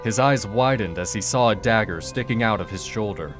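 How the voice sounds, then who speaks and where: Male storyteller, low voice, dramatic scripted narration, dark fairy tale, music underneath. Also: brisk. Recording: music behind the voice.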